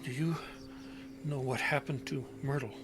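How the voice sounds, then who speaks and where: A man's voice: medium pitch, fairly even intonation, noisy background, close to an everyday voice. An actor as a stammering, confused old man. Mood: anxious, pleading. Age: older. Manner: halting, stammering, repeating words. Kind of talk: audio drama acting.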